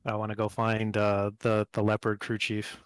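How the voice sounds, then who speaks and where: A man's voice: lowish voice, completely flat delivery, moderate pace, smooth, dry recording. A man chatting casually as a player in a tabletop role-playing game, describing what his character does.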